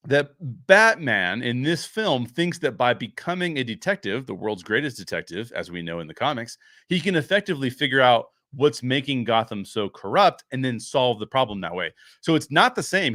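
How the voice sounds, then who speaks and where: A man with an average-pitched voice speaks as a show host giving film commentary. He argues his points conversationally but with emphasis, posing questions and then answering them. The sound is close and dry. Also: fairly bright tone, no echo. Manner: emphatic, argumentative explainer with rhetorical questions. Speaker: host of a pop-culture review show.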